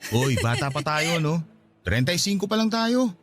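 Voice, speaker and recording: A man's voice: on the high side, sing-song, normal speed, fairly bright tone, soft background music. A man playing a breezy thirty-something boyfriend. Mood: cheerful. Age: 30s. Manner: breezy, upbeat, defensive when pressed. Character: cheerful man in his mid-thirties, the boyfriend. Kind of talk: radio drama acting.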